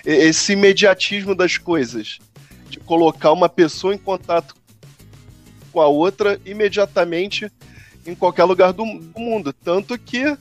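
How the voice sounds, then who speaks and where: A man's voice: high voice, measured pace, faint music behind. A man with a Brazilian Portuguese accent, co-hosting a casual podcast and explaining his views with long, reflective examples. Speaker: podcast co-host.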